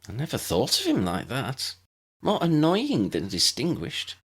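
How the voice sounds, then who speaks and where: A man's voice: medium pitch, huge pitch swings, a little slow, a bit bright, husky, voice pushed up, exaggerated voice. A man voicing a polite, curious young girl in an audiobook, earnest and a little hesitant. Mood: thoughtful. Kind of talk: audiobook character voice.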